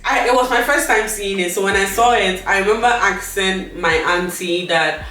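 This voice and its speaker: A young woman with a Ghanaian accent, a guest telling stories in a casual chat. She tells them in an animated way, acting out the people she talks about. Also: mid-range voice, moderate pace. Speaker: vlog guest. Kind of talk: casual storytelling. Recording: echoey room, far from mic, faint noise.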